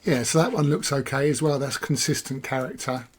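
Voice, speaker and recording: A hoarse man, casually talking through a software walkthrough and thinking aloud as he clicks. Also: medium pitch, steady tone, fairly quick. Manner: rambling, self-correcting, thinking aloud. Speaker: software reviewer. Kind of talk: casual screen-recorded walkthrough.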